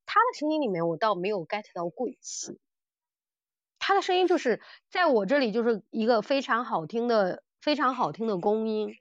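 A woman's voice: a little high, expressive, normal speed, no echo, lo-fi sound. A woman co-hosting a podcast, chatting casually and enthusiastically about audio dramas as a fan. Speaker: podcast co-host.

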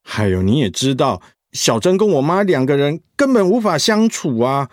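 Guy acting middle-aged dad in a radio play, torn and troubled, slightly high voice, dry studio sound. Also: very animated, normal speed, no echo. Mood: torn, aggrieved. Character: troubled middle-aged pharmacist, estranged son, torn and sighing.

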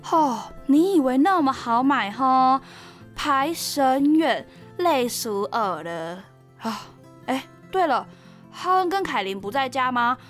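Casting: actress as nosy, chatty young woman, higher voice, Taiwanese accent, radio drama with music behind. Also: animated, slow. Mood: chatty, teasing. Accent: Taiwanese Mandarin. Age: young adult.

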